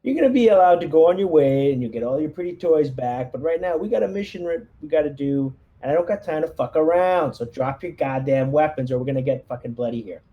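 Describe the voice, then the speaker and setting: Man playing a gruff, swaggering marine sergeant. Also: fairly high pitch, animated, fast talker, few pauses, highs cut off, close to an everyday voice. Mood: tough, threatening.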